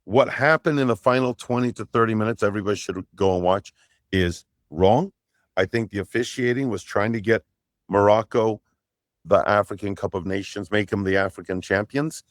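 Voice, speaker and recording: A man's voice: lowish voice, normal speed, no echo, clean studio sound. A middle-aged man, a native Portuguese speaker, co-hosting a soccer podcast and telling long, rambling stories with frequent asides. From Brazil. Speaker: podcast co-host. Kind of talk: casual chat, storytelling.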